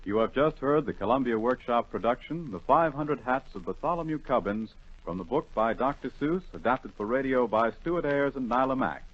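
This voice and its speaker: An American man works as a 1940s radio announcer. He has a medium-pitched voice and formal, measured diction, reading from a script. The broadcast recording is dull and lo-fi. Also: expressive.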